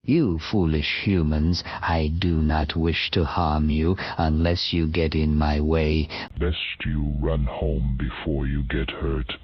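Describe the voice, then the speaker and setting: Horror-video villain played by a man: menacing male tyrant, husky and very low, condescending, muffled narrow-band sound. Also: steady tone, no room sound, heavily acted. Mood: cold menace. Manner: slow, threatening, condescending. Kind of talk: scripted villain monologue in an emergency alert horror video.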